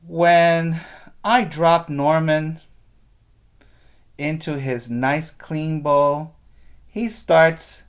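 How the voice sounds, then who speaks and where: A man working as a preschool teacher, reading a picture book aloud to young children with expressive emphasis and asides. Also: on the high side.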